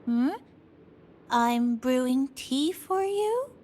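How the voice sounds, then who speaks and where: A woman's voice: high voice, animated, extremely slow, darkish tone, exaggerated voice. A woman voicing a playful, teasing young cafe companion in an anime-style game. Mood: sheepish. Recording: music behind the voice.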